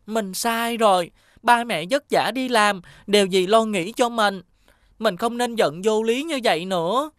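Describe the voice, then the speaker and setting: A woman's voice: mid-range voice, unhurried, dry recording, studio quality, close to an everyday voice. A woman voicing a sulky, whiny young boy. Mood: boyish. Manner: whiny, pleading.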